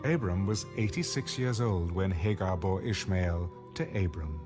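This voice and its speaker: A man narrating scripture in a measured, solemn storytelling style, as for a dramatized audio Bible. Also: low-pitched. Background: background music.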